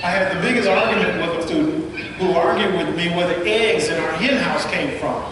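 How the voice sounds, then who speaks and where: A man's voice: high-pitched, brisk. A man casually telling an anecdote, acting out both sides of a conversation. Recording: noisy echoey hall playback from far off.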